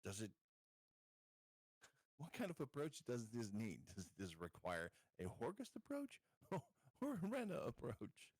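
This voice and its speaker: A man's voice: medium pitch, wildly swooping pitch, a little slow, a little mellow, dry recording. A man casually talking through a tabletop game as a player, asking questions and thinking aloud.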